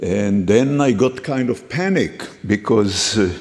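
A man from the Middle East giving a guest lecture, hesitant and halting, with many fillers and restarts. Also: fairly low pitch, expressive, a little mellow. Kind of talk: academic lecture with slides. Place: lecture hall. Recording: reverberant lecture hall.

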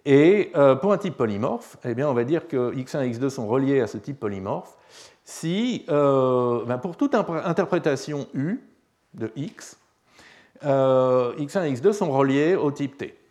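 A man giving a university lecture, slightly hoarse, hesitant with many fillers and restarts, often checking that students follow. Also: mid-range voice, lively intonation, a little slow, darkish tone.

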